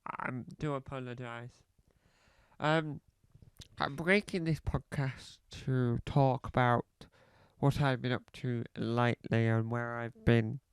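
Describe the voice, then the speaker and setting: A man's voice: medium pitch, steady tone, clean tone, no echo. A man playing a rambling self-important podcaster. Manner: rambling, halting, fond of repeating phrases. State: slight cough. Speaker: comedy podcast host character. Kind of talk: scripted comedy monologue.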